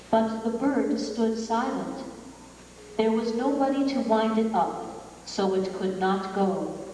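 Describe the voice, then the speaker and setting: A woman narrating a scripted fairy tale in a measured, dramatic storytelling manner. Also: a little high, dark tone. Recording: very echoey hall, distant, faint noise.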